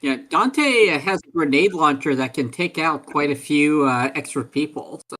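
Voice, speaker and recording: A man's voice: a little high, expressive, normal speed, pushed and bright. A man playing a tabletop roleplaying game, talking casually in a rambling, joking way.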